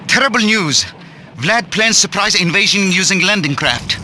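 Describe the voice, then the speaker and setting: A high-voiced, formal foreign ally over noise. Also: male voice, lively intonation, normal speed. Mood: earnest. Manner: stiff, formal phrasing that drops its articles. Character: formal male foreign ally, earnest and grandiose. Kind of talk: game cutscene radio report.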